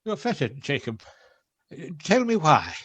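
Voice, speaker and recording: An actor playing a crotchety, skeptical old miser in a radio play, with a querulous, theatrical delivery. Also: male voice, on the high side, wildly swooping pitch, measured pace, a bit bright, slightly rough, exaggerated voice. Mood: cautious.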